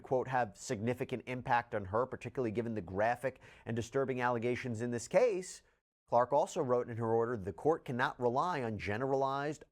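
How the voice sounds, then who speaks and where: A man presenting legal news updates as a commentator, stressing key words and posing rhetorical questions. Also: mid-range voice. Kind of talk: news explainer narration, presenting case updates.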